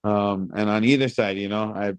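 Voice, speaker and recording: A man in his thirties from Hawaii, answering interview questions in a thoughtful, measured way with many pauses. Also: fairly low pitch, steady tone, smooth, no echo. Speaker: guest, reggae singer. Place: outdoors by a pool among high-rise buildings.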